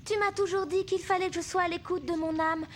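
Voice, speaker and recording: A woman playing a gentle, earnest young native princess in a French cartoon dub. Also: extremely high pitch, steady tone, fairly quick, slight background noise. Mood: earnest pleading. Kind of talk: French animated film dub. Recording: faint music under the voice.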